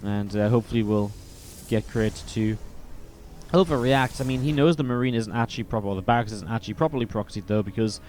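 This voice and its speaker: A man commenting play by play on a strategy video game match, a little sniffly from a cold, steady and then more excited in the fights. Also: on the low side, quick pace. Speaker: esports caster.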